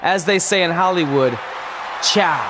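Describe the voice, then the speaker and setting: A brash, sarcastic wrestler with a high voice for a male. He is cocky and defiant in a video game promo, with faint noise around him. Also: measured pace. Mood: cocky, mocking. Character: cocky, defiant young male wrestler turned movie star.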